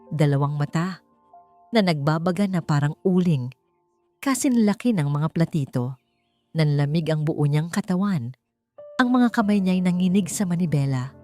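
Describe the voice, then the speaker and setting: A woman with a Filipino accent narrating a story in a measured, dramatic, suspenseful audiobook style. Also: lowish voice, mellow.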